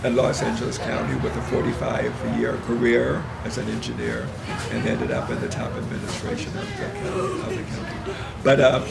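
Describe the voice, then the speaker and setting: An older man, a minister and retired engineer, telling his story in an interview in a measured, reflective way, with a mild stutter and occasional word swaps. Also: medium pitch, wildly swooping pitch, vocal fry, over a noisy crowd. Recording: loud background noise.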